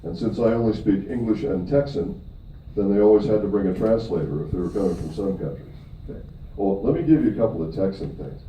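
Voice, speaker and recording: A man's voice: lowish voice, lively intonation, fast, mellow. A middle-aged man from Michigan gives a seminar talk to an audience, emphatic, with upward swings on key points and frequent check-ins of "okay?" with the room. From Michigan, USA. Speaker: presenter at a company event. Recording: distant, echoey, dull audio with faint noise.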